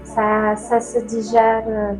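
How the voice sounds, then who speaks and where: A woman as a guest in a casual talk, speaking hesitantly with many pauses. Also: a little high, completely flat delivery, low-quality recording. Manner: slow, hesitant, full of pauses. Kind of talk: casual conversation. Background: soft new-age music.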